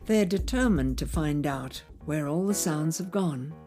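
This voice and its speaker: A woman's voice: low-pitched, lively intonation, measured pace, warm tone, soft background music. A woman playing a dramatic storyteller who narrates a children's space adventure, theatrical and addressing listeners directly. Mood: wondering. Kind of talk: scripted children's radio narration.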